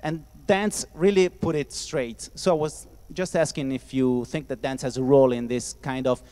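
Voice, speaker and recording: A man from Italy hosting a casual festival discussion, playful and quick to laugh, talking into a handheld mic with many fillers. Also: mid-range voice, fairly even intonation, warm tone. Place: festival hall with audience. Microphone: handheld microphone. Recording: reverberant hall.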